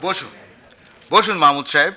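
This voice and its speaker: An actor playing an effusive, grandiloquent newspaper editor in a comic radio drama, speaking in florid, wheedling phrases. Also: male voice, a little high, moderate pace, sharp tone. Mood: businesslike, gracious. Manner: pompous, florid, wheedling, with hesitant filler sounds.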